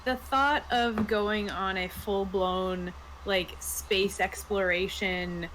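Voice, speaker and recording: A woman's voice: mid-range voice, steady tone, takes it slowly, fairly bright tone, poor audio. Enthusiastic woman chatting, faint noise behind. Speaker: player reviewing a tabletop RPG. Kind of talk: casual chat.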